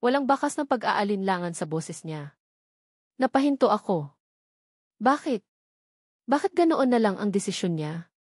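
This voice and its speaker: A woman's voice: mid-range voice, very animated, moderate pace, long pauses, dry recording, clean studio sound. A woman narrating a scripted first-person love drama as a storyteller.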